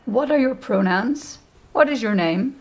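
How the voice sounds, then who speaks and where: A woman narrator voicing a cool, self-confident woman aboard a ship in an audiobook, calm and to the point. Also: a little high, unhurried. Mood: calm, inquiring.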